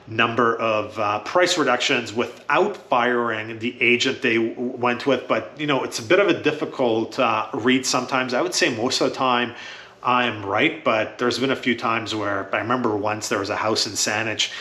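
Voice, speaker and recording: A man's voice: mid-range voice, sharp tone, reverberant. A man whose first language is Croatian, talking casually and matter-of-factly to camera as a real estate agent, with frequent "uh" fillers.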